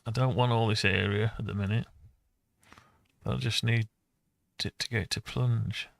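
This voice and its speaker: A man thinking aloud and muttering to himself through an unscripted software walkthrough. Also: lowish voice, steady tone, moderate pace, vocal fry. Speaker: hobbyist tutorial maker.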